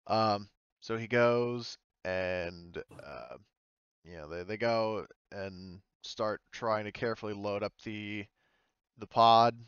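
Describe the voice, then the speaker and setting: A man narrates a tabletop game as its game master. His voice is smooth and on the low side, with halting, informal phrasing. The sound is dry and a little dull, like online voice chat. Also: a bit bright, no echo.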